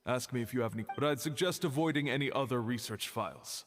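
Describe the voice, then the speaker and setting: An actor plays a cautious keeper of restricted archives in a video game. His mid-pitched voice stays measured and guarded, each word weighed. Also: soft background music, close to an everyday voice.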